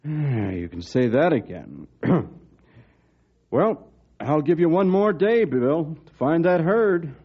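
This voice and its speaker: Stern blustery colonel, animated mid voice, muffled old radio drama. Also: male voice, a little high, takes it slowly, husky, exaggerated voice. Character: gruff, worried senior forest service colonel. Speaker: commanding officer. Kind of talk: old-time radio adventure acting.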